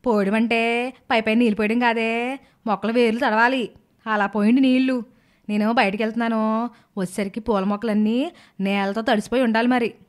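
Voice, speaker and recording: A stern middle-aged aunt , played by a woman, in a cartoon moral story. She scolds with measured, mock-sweet sarcasm and hands out threats like a boss of the house. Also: on the high side, fairly even intonation, lightly acted. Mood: bossy.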